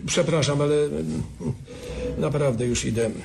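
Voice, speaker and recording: An actor playing a gentle, evasive elderly uncle in a radio drama, speaking mildly and stammering when cornered. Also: male voice, fairly high pitch, unhurried, creaky, heavy background noise. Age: older.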